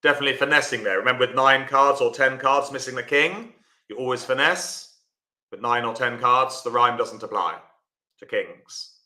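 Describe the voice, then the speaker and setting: A man teaching a live online lesson in a chatty way, greeting viewers as he explains. His voice is bright and forward. The room adds a clear echo. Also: mid-range voice, moderate pace. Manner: chatty, talks to viewers by name while explaining. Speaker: bridge teacher.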